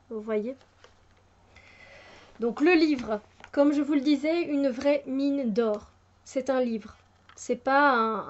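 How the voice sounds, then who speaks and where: A woman with a fairly high voice talks through a tarot deck in an easy, unscripted way. She hesitates often, restarting phrases and filling gaps, but sounds keen on the subject. The recording is muffled and lo-fi. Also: darkish tone, a little hiss or hum. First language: French. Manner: hesitant, many fillers and restarts, enthusiastic. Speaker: tarot reviewer. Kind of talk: casual spoken product review.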